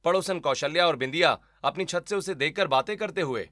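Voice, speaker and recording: A man's voice: fairly high pitch, a little fast, bright, forceful tone, no echo, studio quality. A man narrating a scripted cartoon family story.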